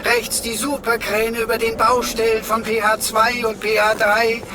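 A man's voice: very high voice, completely flat delivery, normal speed, crowd noise, background noise. A man playing a cheeky talking computer sidekick in a radio play, pompous, wordy and mock-archaic in manner. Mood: theatrically rapturous.

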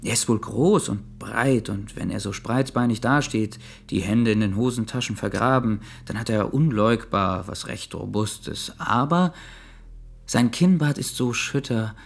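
Earnest young gentleman, creaky voice. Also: medium pitch. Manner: articulate, considered, mildly formal. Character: polite earnest young bourgeois man, thoughtful, observant. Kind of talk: German radio drama acting.

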